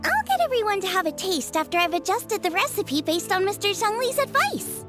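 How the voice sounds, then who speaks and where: A woman's voice: extremely high pitch, sing-song, fairly quick, a bit bright, heavily acted. A woman voicing a bubbly, friendly teenage girl cook in a video game, earnest and chirpy. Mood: bright, bashful. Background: music.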